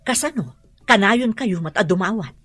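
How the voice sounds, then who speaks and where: A woman's voice: medium pitch, animated, normal speed, fairly bright tone, slightly rough, no room sound. A woman with an Ilocano accent playing a stingy, scheming stepmother in a radio drama. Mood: defensive.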